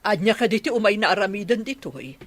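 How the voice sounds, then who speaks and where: A woman's voice: medium pitch, expressive, fast talker, dry recording, close to an everyday voice. A woman playing a suspicious, grumbling village housekeeper in an Ilocano comedy radio drama, with an Ilocano accent.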